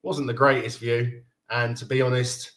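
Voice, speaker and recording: A man's voice: mid-range voice, level tone, moderate pace, a bit bright. A man from Denmark in his thirties hosting a casual fan live stream, chatting loosely and reading viewer comments aloud with frequent laughs. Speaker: fan livestream host.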